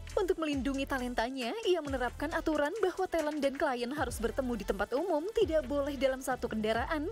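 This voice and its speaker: A woman reading a scripted TV profile voice-over in a measured, formal way. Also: high voice, darkish tone. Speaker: voice-over narrator.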